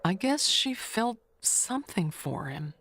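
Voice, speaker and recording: A woman playing a gossipy, wistful, never-married older neighbor who confides with pointed pauses and hushed asides in an audio mystery monologue. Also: mid-range voice, sing-song, a little hiss or hum. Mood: confiding, suspicious.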